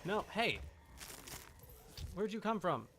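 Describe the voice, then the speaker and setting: A man's voice: sits high, big pitch swings, slow pace, background noise. A man giving casual live gameplay commentary, muttering to himself as he plays. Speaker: video game streamer.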